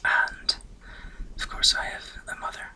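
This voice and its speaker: A man's voice: a little slow, sharp tone, poor audio. A man in his twenties from Norway, whispering a rambling, self-deprecating life story for an ASMR video. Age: 20s. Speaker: ASMR channel host.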